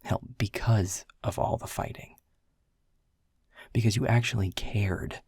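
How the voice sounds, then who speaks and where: A man's voice: on the low side, fairly even intonation, a little slow, darkish tone, breathy edge, no room sound, clean studio sound. A man playing a world-weary male middle manager who is blunt and swears, confessing in a half-whisper. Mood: frustrated. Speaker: boss. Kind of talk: audio roleplay acting.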